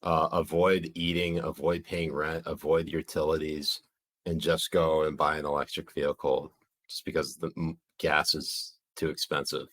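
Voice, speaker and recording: A man's voice: a bass voice, level tone, moderate pace, fairly bright tone. A man co-hosting a casual livestream, leading the segments with sardonic asides. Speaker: podcast co-host.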